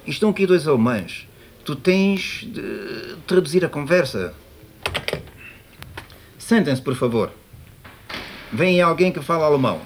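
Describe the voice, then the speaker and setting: An actor playing an informal Portuguese prison guard in a naturalistic radio drama. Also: male voice, a little high, big pitch swings, moderate pace, long pauses. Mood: brisk, matter-of-fact. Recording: faintly noisy cassette copy of radio play.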